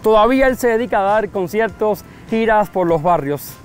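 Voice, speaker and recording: Cuban man, high voice, chatty interviewee who repeats himself, outdoor city street, faint music behind. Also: animated, normal speed. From Cuba. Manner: talkative, thoughtful, repeats phrases.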